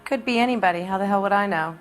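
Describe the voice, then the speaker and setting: A woman's voice: medium pitch, racing, close to an everyday voice. A woman playing a brassy, hard-bitten young American dame in a 1940s crime game, blunt, slangy and evasive. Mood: defiant, evasive. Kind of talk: video game acting, period crime drama. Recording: music behind the voice.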